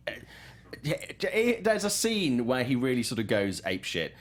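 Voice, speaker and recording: Animated middle-aged man talking movies. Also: medium pitch, normal speed. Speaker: film journalist and talk show host. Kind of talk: casual film review chat.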